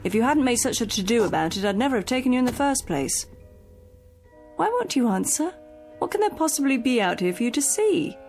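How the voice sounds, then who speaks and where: A fretful, scolding female companion, in a vintage television drama. Her voice is fairly high with a gravelly creak, over faint music. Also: very animated, fairly quick, a little mellow. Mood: exasperated. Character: fussy, exasperated female companion to an invalid.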